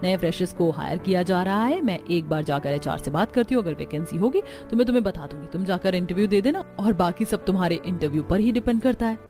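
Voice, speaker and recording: A woman's voice: on the low side, lively intonation, quick pace, few pauses, dark tone. A woman voicing a helpful, composed young working woman in a cartoon story. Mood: calm, helpful. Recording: music bed under voice.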